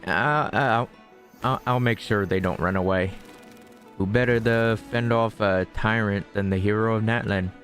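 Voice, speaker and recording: Man voicing a teasing, lowish-voiced traveler hero. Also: fairly even intonation, moderate pace, a bit bright, faint music behind, lightly acted. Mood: wry. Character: young male traveler hero, teasing and easygoing.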